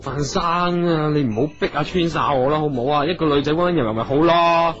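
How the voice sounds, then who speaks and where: A man's voice: on the high side, a little fast, fairly bright tone, natural voice. An actor playing a timid, anxious male performer in a comedic radio drama, hesitant and apologetic, with a Hong Kong Cantonese accent. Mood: meek, apologetic. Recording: slightly muffled radio sound with music.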